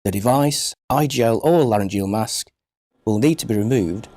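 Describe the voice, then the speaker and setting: A man reading scripted instructional narration for a medical training film in a measured, precise, formal manner. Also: fairly low pitch, a little mellow, no room sound.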